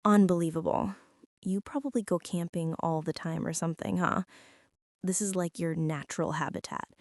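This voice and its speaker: A woman's voice: lowish voice, moderate pace, no room sound, close to an everyday voice. A young woman playing a prickly, defensive teenage school bully in an intimate girlfriend ASMR roleplay, sulky and sarcastic, close to the mic. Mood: exasperated. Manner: sulky, sarcastic, huffy, with sighs and whispers.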